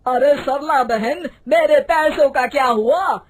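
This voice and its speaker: A woman's voice: sits high, slow, slight background noise. A woman voicing a stern landlady in a storybook tale, insistent and threatening in manner. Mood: stern, demanding. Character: stern, demanding female landlady of a dhaba. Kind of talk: animated Hindi storybook voice acting.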